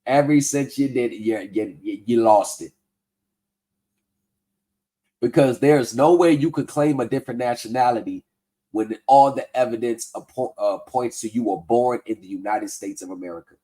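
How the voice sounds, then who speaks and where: A man's voice: mid-range voice, moderate pace, mellow. A man hosting a livestream, casually walking through a tax form and skeptically picking apart bad advice. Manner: conversational, skeptical, reads form text aloud. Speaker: livestream host debunking scams. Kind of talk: casual explanatory commentary.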